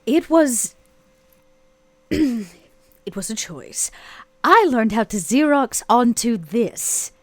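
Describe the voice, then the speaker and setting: A woman's voice: on the high side, expressive, drawn-out words, long pauses, dry recording. A woman playing a charming, self-assured female vampire, theatrical and arch, in a tabletop roleplay podcast. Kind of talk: tabletop roleplay and in-character sponsor read.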